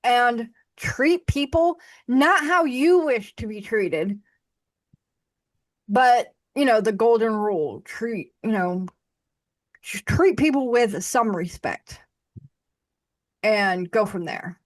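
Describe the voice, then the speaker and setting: A woman's voice: a little high, animated, slow pace, long pauses. A woman hosting a podcast, talking casually and bluntly, with frequent restarts. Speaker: podcast host. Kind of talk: casual conversation.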